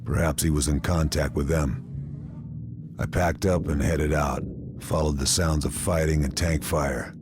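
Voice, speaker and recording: An actor playing a hardened American wartime sniper officer, narrating a war game in a terse, world-weary, laconic manner. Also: male voice, rumbling low, steady tone, unhurried, noisy background. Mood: terse, resolute. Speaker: narrator and protagonist.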